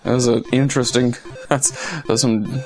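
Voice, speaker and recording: A man with a medium-pitched voice co-hosts a casual game commentary. He talks in a wry, crude, self-deprecating way, joking as he reacts to the game. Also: level tone, unhurried, vocal fry, soft background music. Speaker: co-host of a video game commentary.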